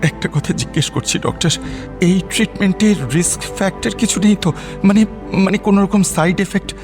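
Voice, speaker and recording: A man acting in a radio drama as a distraught, anxious husband and bereaved father, pleading haltingly and repeating his phrases. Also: high voice, over music. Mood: urgent, imploring.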